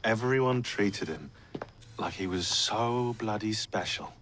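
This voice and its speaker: An actor playing a weary, wry modern assassin in a video game, with a British accent and a halting, dry way of talking. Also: male voice, lowish voice, a bit bright, a little hiss or hum. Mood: regretful, sincere. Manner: dry sarcasm, halting confessional delivery. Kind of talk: naturalistic video game acting.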